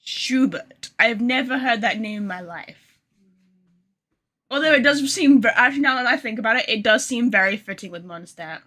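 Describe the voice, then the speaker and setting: Bright, high-voiced woman streaming casually. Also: expressive, moderate pace. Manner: sweary, self-deprecating, informal. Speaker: game streamer. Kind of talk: casual live gameplay chat, reading some lines aloud. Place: bedroom.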